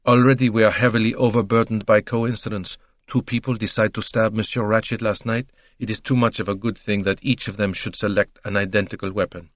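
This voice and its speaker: A man voicing a fussy, courteous Belgian detective in an audiobook, thoughtful, formal and measured. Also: on the low side, flat delivery, no room sound, close to an everyday voice. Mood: gently exasperated.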